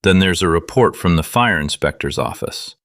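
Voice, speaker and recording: A man's voice: sits low, expressive, moderate pace, fairly bright tone, no room sound, clean studio sound. A man playing a wry conspiracy show host in an audio drama, talking in a conversational, conspiratorial way. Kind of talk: scripted audio drama monologue as talk-show broadcast.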